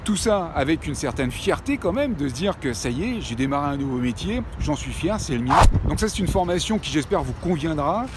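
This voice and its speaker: Man, 50s, casual vlogger, slightly high voice, hesitant with fillers, traffic noise behind. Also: very animated, fairly quick, hardly any pauses. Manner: hesitant, many "euh" fillers, repeats himself. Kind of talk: casual talking-head vlog.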